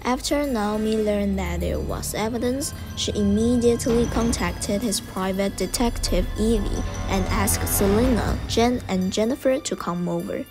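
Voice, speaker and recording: Young female narrator, mid-pitched, scripted student radio drama, clear music behind. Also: a little slow, few pauses. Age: young adult.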